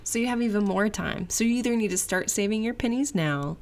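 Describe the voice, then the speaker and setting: A woman in her thirties co-hosting a podcast, chatting and teasing in a relaxed way with lots of "like". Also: medium pitch, brisk. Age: 30s. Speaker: podcast co-host. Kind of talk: casual couple chat.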